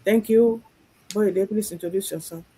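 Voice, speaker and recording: A husky woman from Nigeria hosts a live stream. She speaks earnestly and circles back over her points. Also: mid-range voice, moderate pace, mellow, poor audio. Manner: earnest, repeats herself. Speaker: host.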